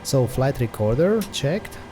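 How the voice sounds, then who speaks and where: A man's voice: mid-range voice, big pitch swings, unhurried, mellow, slight background noise. A man hosting a flight simulator video, giving methodical step-by-step commentary in a hesitant delivery.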